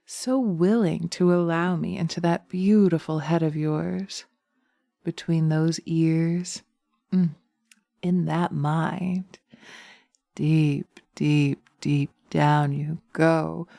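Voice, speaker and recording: Woman playing a low, mellow, seductive witch hypnotist. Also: fairly even intonation, creaky, no room sound, studio quality, heavily acted. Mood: hushed, hypnotic. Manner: slow, soothing, coaxing, often dropping to a whisper. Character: seductive, teasing witch hypnotist, sweetly sinister and dominant. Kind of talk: breathy binaural hypnosis roleplay audio. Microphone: close binaural microphone.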